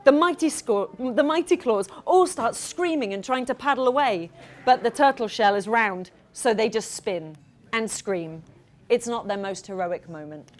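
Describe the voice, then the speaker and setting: Female author reading to kids: playful, expressive, high voice with big swoops. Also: normal speed. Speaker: children's author reading aloud. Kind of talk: animated storytelling to a live audience of children.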